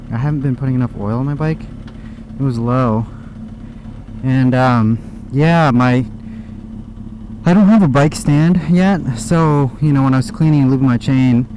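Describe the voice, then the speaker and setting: A man's voice: medium pitch, dark tone. A young American man chatting casually and in a laid-back, slangy way as he vlogs from inside a motorcycle helmet. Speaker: motorcycle vlogger. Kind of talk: casual vlog chat while riding. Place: outdoors, in a motorcycle helmet on the road. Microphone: microphone inside helmet. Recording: helmet mic with engine and street noise. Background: motorcycle engine and traffic.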